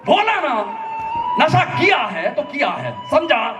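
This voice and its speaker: A man voicing a stern, gruff police officer who scolds in short, curt phrases. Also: very high-pitched, wildly swooping pitch, slow pace, crowd noise, voice pushed up, full character voice. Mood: scolding. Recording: roomy echo, distant mic, music behind.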